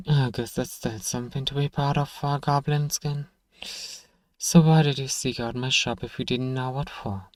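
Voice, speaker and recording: A man playing a gruff, sardonic but grudgingly kind apothecary shopkeeper in an ASMR fantasy roleplay, dryly teasing and sighing as he talks to one listener. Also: mid-range voice, a little mellow, no echo, lightly acted. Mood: wary, scornful.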